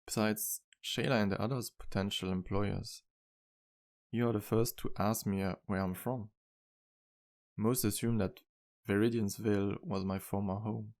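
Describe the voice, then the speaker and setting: A man acts out a charming male vampire bartender for an ASMR roleplay. His voice sits low, with a heavy gravelly creak. He speaks gently and modestly, in courteous, slightly formal phrases meant for a single listener. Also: steady tone, unhurried. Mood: wry, guarded. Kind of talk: ASMR roleplay, intimate one-sided dialogue.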